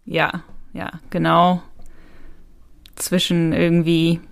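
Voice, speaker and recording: A woman's voice: on the low side, monotone, slow, gravelly, dry recording. Woman co-hosting, dry close sound. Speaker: podcast co-host. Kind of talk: casual chat.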